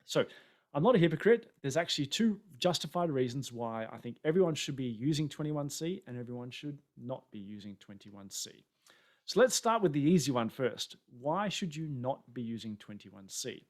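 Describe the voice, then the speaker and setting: An Australian man talks through a webinar presentation in a chatty, self-deprecating way. His voice sits at an ordinary pitch for a man. He is picked up by a webcam setup on a video call. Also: lively intonation, moderate pace. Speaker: conference presenter.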